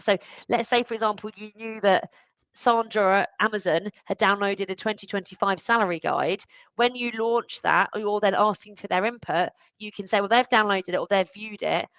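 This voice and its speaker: A woman's voice: mid-range voice, a bit bright, no echo. Emphatic woman, dry muffled call audio. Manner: emphatic, informal. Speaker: industry expert panelist. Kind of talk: conversational business webinar discussion. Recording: dull, band-limited online call audio.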